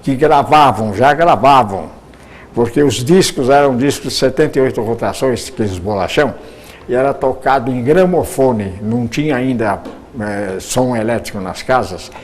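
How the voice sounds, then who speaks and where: A man's voice: medium pitch, normal speed. An older Brazilian man, a radio broadcaster, answering interview questions at length, often repeating words as he searches for them.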